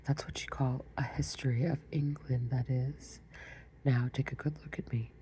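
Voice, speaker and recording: A woman's voice: very deep voice, fairly even intonation, moderate pace, mellow, slight background noise. A woman whispering a storybook reading, voicing a proud, argumentative egg-shaped man on a wall. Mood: haughty.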